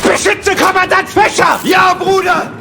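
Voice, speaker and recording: A man acting a frantic enemy soldier in a video game, shouting in a strained voice. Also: extremely high pitch, flat delivery, a little slow, creaky, music in the background, full character voice. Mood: panicked shouting. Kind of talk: video game combat barks. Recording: noisy echoing game battle audio.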